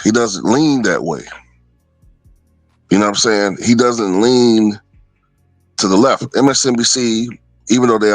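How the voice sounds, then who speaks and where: A man's voice: mid-range voice, a bit bright, no echo, rough recording. A man giving casual, opinionated commentary off the cuff, often checking in with the listener. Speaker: online political commentator.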